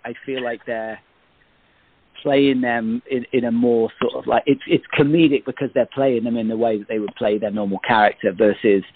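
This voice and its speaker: A man's voice: mid-range voice, no echo. A man reflecting tentatively and casually in a panel discussion, over narrow call audio. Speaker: cast interview panelist.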